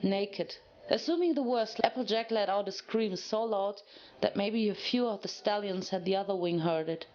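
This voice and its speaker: Woman narrator reading a story dramatically, mid pitched voice. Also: moderate pace, darkish tone, lo-fi sound.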